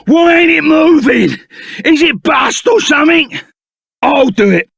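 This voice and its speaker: Rough Cockney henchman, high-pitched for a man, swinging intonation, blunt, loyal, excitable, video game character. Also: unhurried, no echo, shouting, clean studio sound, exaggerated voice. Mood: gruff, indignant. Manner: blunt, slangy, chummy, exclamatory.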